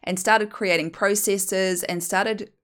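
A woman's voice: mid-range voice, steady tone, slow pace, a bit bright. Candid New Zealand woman in her forties. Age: 40s. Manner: candid, self-mocking, chatty with fillers. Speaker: podcast host, hypnotherapist. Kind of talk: personal storytelling monologue.

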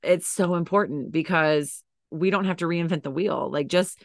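A woman's voice: lowish voice, normal speed, vocal fry, dry recording, studio quality. A woman with a scratchy, tired voice from teaching, chatting as a podcast guest with lots of fillers and restarts. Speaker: podcast guest, dance educator and coach. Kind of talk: casual interview chat.

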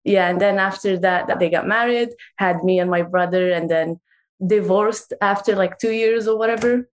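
A woman's voice: medium pitch, moderate pace. Twenties woman from Morocco, casual chatty guest, filler-heavy delivery. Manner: chatty, frequent "like" fillers, laughs easily. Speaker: guest author. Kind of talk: casual interview talk.

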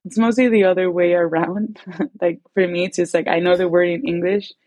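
A woman's voice: fairly low pitch, steady tone, moderate pace, a little mellow, no room sound. A young Venezuelan woman, a native Spanish speaker, chatting casually as an interview guest, rambling with lots of "like" and restarts. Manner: rambling, many filler likes and restarts. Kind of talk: casual conversation.

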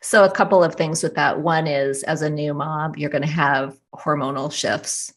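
A woman talking through advice in a thoughtful, unhurried and reassuring way. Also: deep voice, fairly even intonation. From US desert region. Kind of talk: casual advice conversation.

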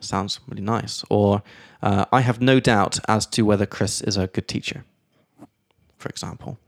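A man hosting a casual podcast, explaining English grammar in a lively way and reading out example sentences. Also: fairly low pitch, moderate pace, vocal fry, no room sound, studio quality. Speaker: podcast host and English teacher.

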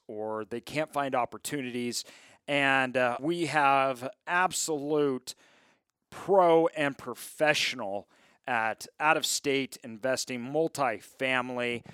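A man's voice: medium pitch, fairly bright tone, clear, resonant tone, no room sound, clean studio sound. A man hosting a casual podcast interview, talking conversationally with his guest. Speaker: podcast host.

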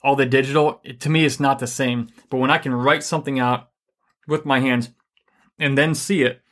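A man co-hosting a casual faith-based money and marriage talk, energetic and rambling with many fillers. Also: medium pitch, normal speed.

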